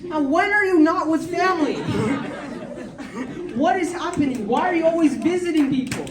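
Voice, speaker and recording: A woman's voice: extremely high pitch, normal speed, heavy background noise. A teenage American girl performing a rambling, giggly amateur stand-up set with many likes and ums. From United States. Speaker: stand-up comedian. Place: large hall with audience. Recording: echoey hall, speaker far from mic, crowd behind.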